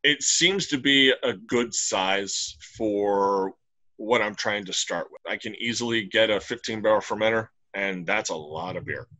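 A middle-aged man speaks over a webcam call from a small room, sounding bright and upbeat. He talks plainly and in detail as an interview guest. His delivery is easygoing and conversational. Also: fairly low pitch, a little slow, rough recording. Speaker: brewery owner, guest. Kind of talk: casual video-call interview. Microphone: webcam on a video call.

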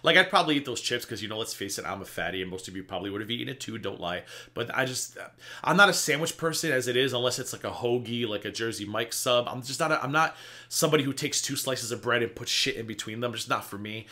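A man's voice: mid-range voice, expressive, fast, bright, forceful tone. A man in his thirties hosting a reaction video, talking in a chatty, sarcastic way and swearing freely. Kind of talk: casual reaction commentary. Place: indoor room before a green screen.

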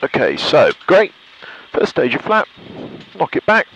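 A man's voice: on the high side, wildly swooping pitch, measured pace, fairly bright tone, dull audio, a little hiss or hum. English guy in his 30s doing pilot vlog talk, matter of fact, faint plane drone behind. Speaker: pilot and vlogger. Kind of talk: vlog narration and cockpit talk.

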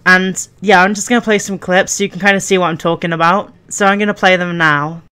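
A woman's voice: fairly low pitch, fairly even intonation, a little fast, sharp tone, slight background noise. A woman YouTuber giving casual, rambling commentary in an informal way.

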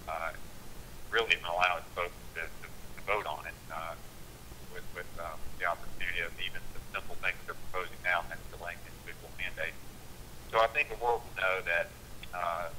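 A man's voice: low-pitched, bright, forceful tone, a little hiss or hum, rough recording. A congressman from Georgia giving earnest, rallying political remarks over a telephone conference call. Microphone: telephone conference line.

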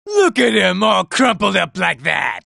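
A man's voice: high voice, wildly swooping pitch, measured pace, creaky, pushed and bright, no room sound, shouting, full character voice. An actor playing a vain, boastful male robot soldier who taunts enemies and admires his own looks, in a video game. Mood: smug gloating. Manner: smug, self-admiring, taunting. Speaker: game character. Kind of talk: video game combat barks. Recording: dry studio recording.

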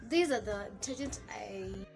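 A woman's voice: medium pitch, lively intonation, normal speed, gravelly, over music. A woman with a Kenyan accent, in her thirties, chatting casually as she explains each step of a laundry how-to in a small home laundry room, slipping in Swahili phrases. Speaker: vlogger.

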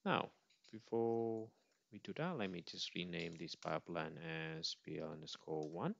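A man presenting an informal step-by-step software demo, on a slightly dull recording with mouse clicks. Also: low voice, moderate pace, gravelly, no echo.

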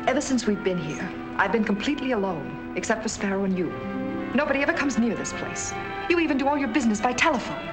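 An actress playing a lonely young wife who feels trapped, in emotional 1940s film style. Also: female voice, on the high side, animated, quick pace, close to an everyday voice. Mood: upset. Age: young adult. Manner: emotional, pleading. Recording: 1940s film soundtrack with music.

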